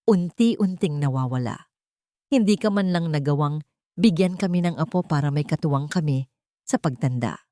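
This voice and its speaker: A woman's voice: fairly low pitch, mellow, no echo, studio quality, close to an everyday voice. Woman voicing a scornful, nagging older matron. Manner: belittling, complaining.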